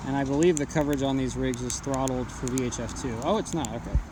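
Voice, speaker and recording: A man's voice: medium pitch, fast talker, noisy background. A man talking casually and off the cuff as he demonstrates radio gear, rambling but blunt and matter-of-fact. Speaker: amateur radio hobbyist reviewing gear. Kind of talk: casual unscripted product demonstration.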